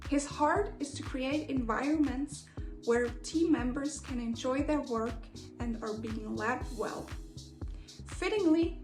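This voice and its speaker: A woman presenter reading a scripted introduction to a show. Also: sits high. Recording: roomy sound with faint music. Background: faint music.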